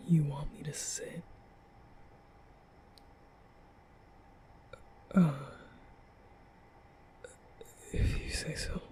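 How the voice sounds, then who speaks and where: A man playing a nervous, caring young vampire in an ASMR roleplay, speaking softly and hesitantly with stammers in a breathy whisper. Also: on the high side, flat delivery, normal speed, natural voice, low-quality recording. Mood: gentle, attentive. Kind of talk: ASMR roleplay, soft comforting boyfriend audio.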